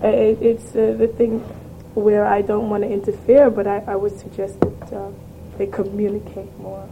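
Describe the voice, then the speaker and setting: A woman's voice: medium pitch, level tone, normal speed, creaky, noisy background. A woman from the Caribbean speaking earnestly on a panel, offering advice with some hesitation. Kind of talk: candid panel discussion.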